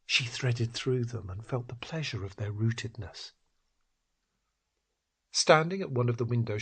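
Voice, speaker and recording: A man reading scripted literary fiction in a husky, breathy voice that often drops toward a whisper, with measured, dramatic phrasing. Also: medium pitch. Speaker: narrator. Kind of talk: scripted literary story narration.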